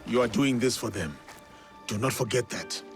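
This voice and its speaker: An actor playing a solemn, loyal warrior with a measured, reassuring manner in a video game drama. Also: male voice, mid-range voice, animated, soft background music, close to an everyday voice. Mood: encouraging.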